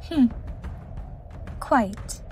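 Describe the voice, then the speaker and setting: A woman's voice: drawn-out words, faint music behind. A woman voicing a haughty, contemptuous immortal mermaid empress in a fantasy audio drama, imperious and theatrical. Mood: disdainful.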